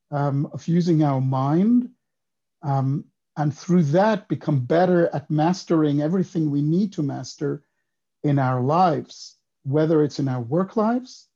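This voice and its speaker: A man in his fifties from Switzerland, a guest on a podcast, telling his story in a reflective, unhurried way. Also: on the high side, dark tone, breathy edge, muffled recording. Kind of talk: casual interview talk.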